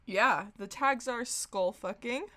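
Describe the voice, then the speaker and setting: A woman's voice: on the high side, slow, a bit bright. A woman co-hosting a podcast, chatting casually and reading comedic stories in an informal, slangy, amused way. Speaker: podcast co-host.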